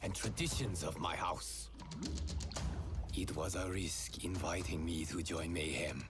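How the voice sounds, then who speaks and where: Ruthless duty-bound Yakuza killer, very low voice, solemn, measured, video game monologue, loud music behind. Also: male voice. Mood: grim resolve. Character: grim, duty-bound male Yakuza assassin of ruthless resolve. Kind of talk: scripted dramatic narration.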